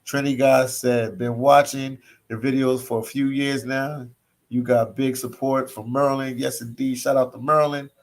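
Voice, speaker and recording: A relaxed man with a Philadelphia accent talks casually to his livestream viewers from a hostel library. He reads out their comments and answers them, often repeating phrases and tacking "man" onto the end of his sentences. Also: mid-range voice, normal speed. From Philadelphia, US East Coast. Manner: laid-back, says "man" a lot, repeats phrases. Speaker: travel vlogger reading live chat.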